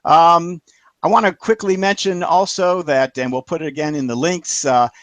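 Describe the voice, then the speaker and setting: A man's voice: fairly high pitch, steady tone, a bit bright, no echo. Guy hosting an online show, thanking everyone, keeps saying uh, dry mic. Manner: halting, many "uh" fillers; friendly, thanking panelists and viewers. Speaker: show host. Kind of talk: casual closing announcements on a web show.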